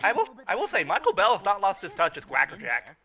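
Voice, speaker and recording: A man doing excitable riffing commentary as a host, exclaiming and stammering as he repeats phrases. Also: sits high, fast talker. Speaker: podcast host riffing on a cartoon.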